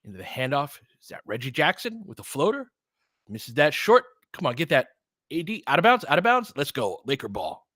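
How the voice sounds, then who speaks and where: A man's voice: a little high, huge pitch swings, a little fast, fairly bright tone, slightly rough, dry recording, studio quality. A man giving informal live basketball play-by-play as a partisan commentator who urges on the home team.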